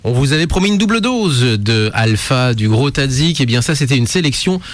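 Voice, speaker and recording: A man's voice: mid-range voice, animated, a little fast, soft background music. A man hosting a radio show in casual chat, rambling and correcting himself with many fillers. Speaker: radio host.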